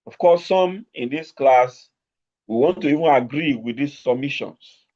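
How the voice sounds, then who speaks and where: A man lecturing a law class online, stretching out key words with deliberate emphasis. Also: medium pitch. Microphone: remote call over network. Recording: dull lo-fi online audio.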